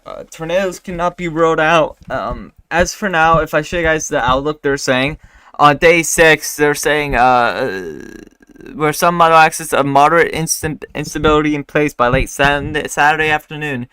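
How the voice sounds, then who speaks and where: A man with a bright, crisp voice runs a casual live weather discussion, stopping often for fillers and restarts. His voice is still recovering from a bout of lost voice, and the sound is dry and close. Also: medium pitch, no echo. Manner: halting, many fillers and restarts. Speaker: weather livestream host.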